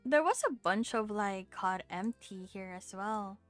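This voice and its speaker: A woman's voice: medium pitch, expressive, measured pace, soft background music. A young adult woman chatting casually on a live game stream, informal and musing.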